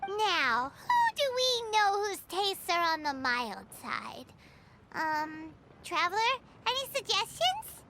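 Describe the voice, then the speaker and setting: A woman voicing a tiny bubbly fairy companion in an anime video game, squeaky and childlike, excitable and prone to exclaiming. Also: slow, noisy background, exaggerated voice. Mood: curious, musing. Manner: speaks of self in third person, exclaiming. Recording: loud music behind the voice.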